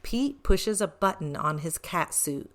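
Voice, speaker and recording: A woman reading a children's picture book aloud in an expressive, deliberate way with emphasis on short phrases. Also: lowish voice, noisy background. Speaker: read-aloud storyteller for children.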